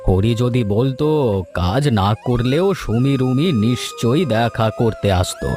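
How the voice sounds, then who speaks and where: A man plays a well-meaning village moneylender in a cartoon tale. He has an ordinary mid-range voice and talks in a measured, reasonable way, with music clearly behind him. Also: mid-range voice, animated, a little mellow, lightly acted. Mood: regretful. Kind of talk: Bengali cartoon story dubbing.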